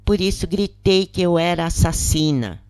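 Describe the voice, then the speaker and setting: A woman's voice: lowish voice, normal speed, a little mellow, dry recording, voice pushed up, lightly acted. A woman with a Brazilian accent voicing a troubled, earnest woman prisoner in an audiobook story. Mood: uncertain, troubled. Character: troubled woman prisoner Gilda, remorseful, questioning, uncertain.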